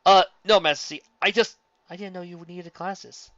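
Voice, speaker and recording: A dutiful young guard captain, deferential and a little halting as a man reads him in a near-natural voice. The tone is bright and forward. The sound is dry and muffled, like a simple home recording. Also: high-pitched, fairly even intonation, no echo, voice pushed up. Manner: respectful, halting and anxious.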